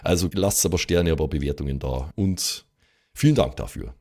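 A man's voice: very deep voice, moderate pace, creaky, darkish tone. A man hosting a podcast in casual, informal talk with playful asides. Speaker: podcast host. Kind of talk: casual chat, thanking supporters.

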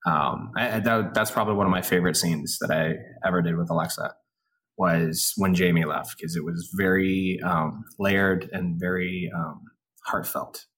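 Man, 40s, low-ish voice; self-deprecating, laughing podcast guest. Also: fairly even intonation, normal speed, a bit bright. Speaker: actor guest. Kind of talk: casual podcast interview.

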